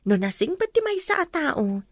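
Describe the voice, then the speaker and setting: High-voiced gentle big sister. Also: female voice, big pitch swings, moderate pace, dry recording, studio quality. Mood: kindly, gentle. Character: gentle, humble, poor big sister, a young woman. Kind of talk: radio drama acting.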